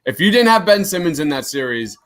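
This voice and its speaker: A man's voice: a little high, big pitch swings, fairly quick. A young man co-hosting a live sports podcast, reading viewer comments aloud and cheering on his team. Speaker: podcast co-host. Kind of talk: live game reaction chat.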